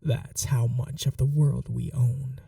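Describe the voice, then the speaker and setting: A woman playing a cruel, possessive vampire mistress in an ASMR roleplay, speaking slowly in breathy half-whispers with menacing tenderness. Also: extremely low pitch, little pitch movement, mellow, dry recording, clean studio sound, far from the mic, exaggerated voice. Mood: coldly tender. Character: cruel, possessive vampire mistress, silkily taunting her betrothed captive. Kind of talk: ASMR audio roleplay, dramatic acting.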